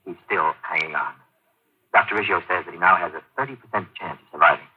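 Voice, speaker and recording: An actor playing a steady hospital medic, clinical and matter-of-fact, in a 1950s radio drama. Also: male voice, low voice, quick pace, husky. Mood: measured, reassuring. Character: brisk male hospital medic, businesslike and steady. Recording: muffled, tinny old radio broadcast.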